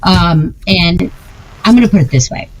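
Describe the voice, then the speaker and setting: A woman's voice: fairly low pitch, lively intonation, moderate pace, rough recording. A woman hosting a casual chat, talking emphatically and insistently, often repeating her points.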